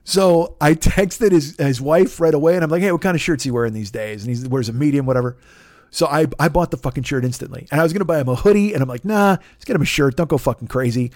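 A man with a fairly high-pitched voice tells a rambling, profane comic story as a podcast host. He swears constantly and acts out the exchanges he quotes. The sound is dry and close, with no room echo. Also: fast talker, a little mellow.

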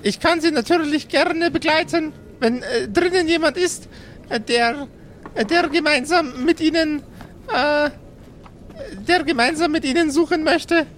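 A courteous young woman at a fantasy library desk, played by a man. The voice is high and slow, hesitant and easily flustered, over some background noise. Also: a bit bright. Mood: flustered, helpful. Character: polite young red-skinned female library receptionist.

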